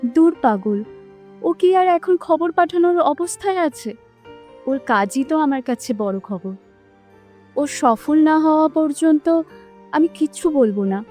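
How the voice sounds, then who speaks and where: A high-pitched young cartoon princess played by a woman, resolute and caring. Light music runs behind her fairy-tale dialogue. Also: lively intonation, unhurried, pauses often, warm tone. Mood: wistful.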